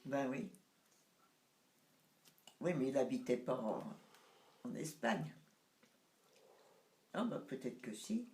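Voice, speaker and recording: A woman's voice: extremely low pitch, big pitch swings, slow pace, pauses often, darkish tone, echoey room. A woman in her nineties, recounting family memories to her son in casual conversation, hesitant and searching for words. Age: 90s. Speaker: mother recalling family memories.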